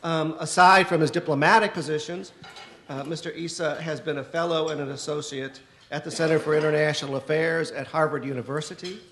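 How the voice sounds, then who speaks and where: A man with a slightly raised pitch moderates a university panel, reading out biographies and stumbling now and then. He moves on to frame broad questions for the guests. Light background noise runs underneath. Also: steady tone, a little fast, a bit bright. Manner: reads bios with some stumbles. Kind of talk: introducing guests and posing questions. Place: university lecture hall.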